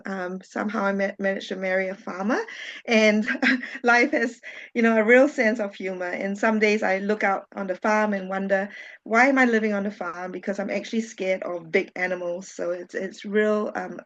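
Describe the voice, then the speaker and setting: Woman from Singapore, webinar facilitator, warm and chatty, with a fry in her voice and muffled call audio. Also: mid-range voice. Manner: chatty and warm, with frequent ums and small laughs. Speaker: webinar facilitator, social worker. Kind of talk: friendly webinar presentation. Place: home. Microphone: video call. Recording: dull lo-fi video call audio.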